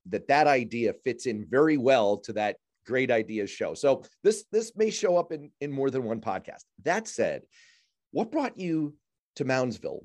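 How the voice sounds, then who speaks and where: A man hosting a radio talk show, introducing his guest in a measured, courteous way. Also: medium pitch, darkish tone. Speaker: radio and podcast host. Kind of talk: radio interview.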